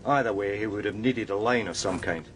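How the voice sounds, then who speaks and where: An actor playing a methodical male police detective, measured and respectful to his superior, in a radio crime drama. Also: fairly low pitch, a little hiss or hum, lightly acted. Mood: analytical.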